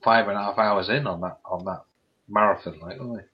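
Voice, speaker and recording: A man's voice: fairly low pitch, fast. A man in casual chat on a stream, colloquial and given to swearing. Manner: swearing, laughing, colloquial British slang. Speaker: stream co-host. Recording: dull lo-fi sound.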